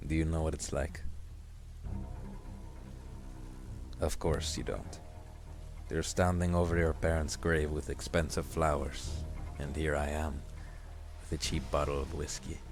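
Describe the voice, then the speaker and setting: A man's voice: little pitch movement, normal speed. A man playing a bitter, hard-drinking teenage bully with a sardonic, menacing manner in an audio drama. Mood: bitter, weary. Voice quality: deep, gravelly. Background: music.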